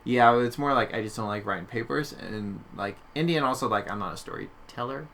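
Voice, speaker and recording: Man, bright forceful voice, mid pitch, casual podcast chat, faint background noise. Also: a little fast. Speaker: podcast co-host.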